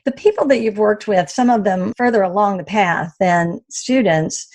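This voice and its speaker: A woman's voice: mid-range voice, normal speed, a little mellow, dry recording. Affirming woman with a light Southern accent. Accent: Southern US, slight. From West Virginia, US. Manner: affirming, appreciative interviewer. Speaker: podcast host, interviewer. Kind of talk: conversational interview.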